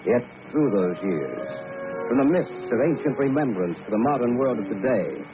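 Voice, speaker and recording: A man's voice: medium pitch, expressive, moderate pace, close to an everyday voice. An actor playing an earnest, uneasy man in a grave, dramatic old-time radio style. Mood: grave, foreboding. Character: Jack, earnest, uneasy young man telling a supernatural tale. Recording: noisy, narrow-band vintage radio.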